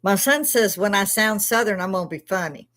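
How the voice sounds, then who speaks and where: A woman's voice: medium pitch, brisk, fairly bright tone. An older woman from Chicago with a Chicago accent, hosting a live stream and telling rambling, laugh-filled stories with plenty of swearing. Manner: laughs often, swears freely, rambling anecdotes. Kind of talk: casual storytelling chat.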